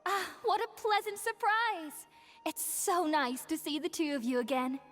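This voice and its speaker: A woman's voice: very high-pitched, unhurried, a bit bright, faint music behind. An actress playing a devout young female scholar in a video game, effusive and reverent in manner. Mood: delighted.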